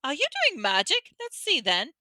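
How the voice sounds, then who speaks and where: Woman reader playing a brisk, bossy know-it-all schoolgirl, higher and bright. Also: huge pitch swings, no room sound, studio quality, full character voice.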